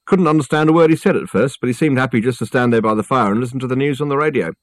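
A man's voice: mid-range voice, racing, dry recording, voice pushed up, close to an everyday voice. A man voicing a short, wiry, chatty college porter in an audiobook, boastful about his own impressions.

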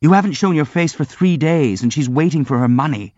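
A man's voice: on the high side, expressive, brisk, darkish tone, dry recording, lo-fi sound, pitched above the performer's own voice. A man doing a frank, chiding teenage boy. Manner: blunt, chiding.

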